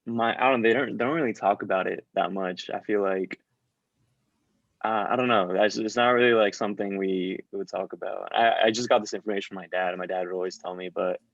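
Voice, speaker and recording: Young male student, hesitant and filler-heavy, gravelly voice, dull lo-fi recording. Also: fairly low pitch, fairly even intonation, a little fast, dry recording. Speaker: student asking a question. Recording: dull, dry lo-fi mic.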